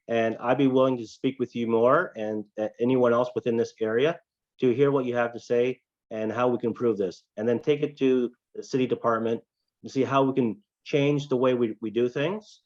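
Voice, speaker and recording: A man with a Canadian accent answering as a council candidate, measured and hesitant, often repeating himself. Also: medium pitch, steady tone, a little mellow, muffled recording.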